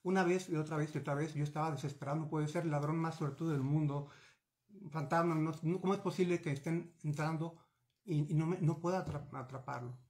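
Un hombre de unos cuarenta años, de México, cuenta por videollamada desde un pequeño despacho una historia personal, de forma animada, gesticulando y con muchos titubeos y frases reiniciadas. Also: male voice, a little high, a little fast. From Mexico. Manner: animated, gesturing, many restarts and fillers. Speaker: interviewee. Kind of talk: recounting a personal story. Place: small home office with bookshelves. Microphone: video call webcam.

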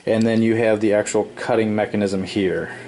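A man talking through a home plumbing repair step by step at his workbench, relaxed and explanatory, often pausing with "um" and correcting himself. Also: fairly low pitch, a little hiss or hum. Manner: relaxed and explanatory, with frequent ums and self-corrections. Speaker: DIY home repair presenter. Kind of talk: casual hands-on tutorial. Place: indoor workshop at a wooden workbench.

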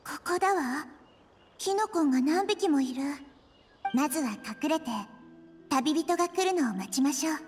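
A woman's voice: sits high, lively intonation, normal speed, pauses often, soft background music. A woman voicing a small, wise, childlike goddess in an anime-style video game, thoughtful and composed. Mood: careful, musing.

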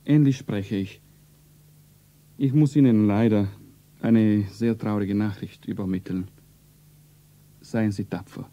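An actor playing a small grey-haired foreign doctor in a radio drama, grave, halting and formal, with an Italian accent. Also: male voice, lowish voice, fairly even intonation, mellow.

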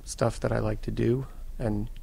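A man from the United States answering interview questions in measured, thoughtful sentences as a filmmaker guest. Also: medium pitch, little pitch movement, gravelly, warm tone.

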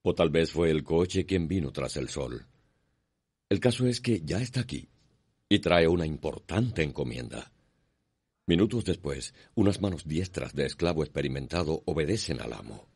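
A man narrating a radio soap in a solemn, measured, poetic manner. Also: extremely low pitch, lively intonation, a little mellow, breathy edge, no room sound. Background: music.

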